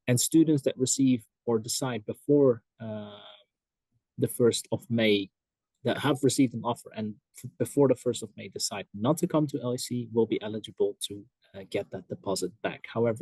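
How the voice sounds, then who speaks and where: Man, admissions staff, husky, dry close sound, measured, explanatory. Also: medium pitch, mellow, no echo, low-quality recording. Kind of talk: explaining procedures in a Q&A. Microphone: video call.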